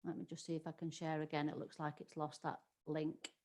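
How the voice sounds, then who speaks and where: A middle-aged woman with a Yorkshire accent leads an online training session. Her voice sits a little low, and her delivery is loose and conversational, full of fillers and self-corrections. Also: monotone, a little fast, a little mellow. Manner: chatty, many ums and restarts. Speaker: webinar trainer. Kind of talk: live online webinar presentation.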